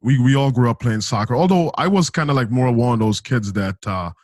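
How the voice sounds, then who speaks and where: A man's voice: medium pitch, brisk, darkish tone, dry recording, studio quality. A man in his thirties from Saint Lucia, hosting a podcast in a laid-back, slangy chat. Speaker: podcast host. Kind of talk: casual chat.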